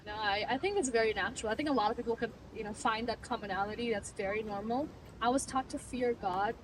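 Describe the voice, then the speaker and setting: A woman's voice: on the high side, fast talker, recorded from a distance, noisy background. A woman in her twenties from Bangladesh, talking earnestly and reflectively in a casual interview and laughing easily. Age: 20s. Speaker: client giving a testimonial.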